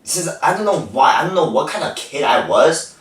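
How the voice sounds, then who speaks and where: A man's voice: on the high side, moderate pace. Teen guy chatting in an echoey room. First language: Korean. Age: teens. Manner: animated storytelling, frequent "like" fillers. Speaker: podcast host. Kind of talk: casual chat. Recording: echoey room, distant mic.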